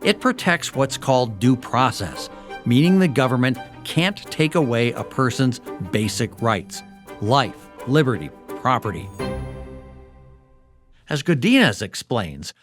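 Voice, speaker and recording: A man narrates a history documentary with a clear, scripted storytelling delivery. His pitch moves a lot, rising and falling through each line. Soft music plays under him. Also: sing-song, a little slow. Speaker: podcast host.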